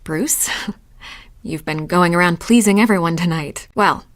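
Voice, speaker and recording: A woman's voice: on the low side, unhurried, close to an everyday voice. A woman playing a wry, flirtatious society party guest in a video game, teasing and knowing in manner. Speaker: game voice actor. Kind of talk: conversational game dialogue acting.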